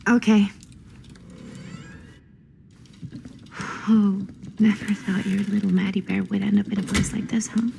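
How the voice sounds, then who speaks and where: An actress playing a hesitant, guarded young woman in a video game, with a husky, creaky voice. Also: medium pitch, little pitch movement, fairly quick, dark tone, slight background noise. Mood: awkward, shy. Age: young adult. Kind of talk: naturalistic video game acting.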